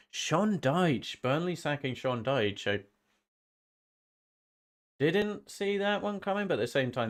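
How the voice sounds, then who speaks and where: Guy with a bit of a cold rambling on a football watch-along stream, average pitch, repeats himself. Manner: rambling, often repeats phrases. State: slight head cold, sniffly. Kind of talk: casual live-stream chat.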